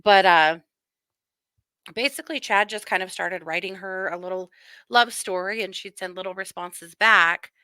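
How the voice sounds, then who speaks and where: Female host, casual true crime talk. Bright, crisp edge, dry recording, wry and teasing delivery. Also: medium pitch, normal speed, dry recording. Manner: wry, mocking.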